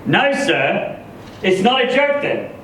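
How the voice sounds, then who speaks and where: A bellowing, sarcastic schoolteacher, acted by a man reading a story, pitched up with incredulous anger. The sound is very echoey and distant. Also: sing-song, takes it slowly, fairly bright tone, full character voice. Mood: angry, mocking. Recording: echoey room, distant mic, noisy.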